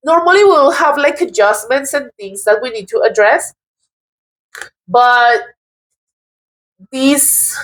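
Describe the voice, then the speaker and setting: Colombian lady doing a tarot reading, kinda high voice, stops and restarts a lot. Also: fairly bright tone, rough recording. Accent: Colombian Spanish. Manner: thoughtful, halting, often restarts words. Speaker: tarot reader.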